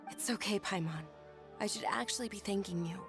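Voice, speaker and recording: A woman voicing a calm, dutiful young noblewoman who speaks reassuringly, with game music behind. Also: mid-range voice, brisk, lightly acted. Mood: resolute.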